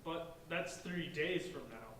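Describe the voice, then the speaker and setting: A man's voice: on the high side, takes it slowly, a bit bright, slight background noise. A man playing a bookish, pompous young man who declaims grandly in a staged play reading. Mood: concerned. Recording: distant echoey room.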